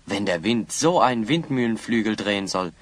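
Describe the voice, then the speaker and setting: A man playing a plucky, confident young hero in a German fantasy audio play, husky and brisk. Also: lowish voice, animated, a little hiss or hum, natural voice. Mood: reassuring. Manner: brisk, urgent, teasing.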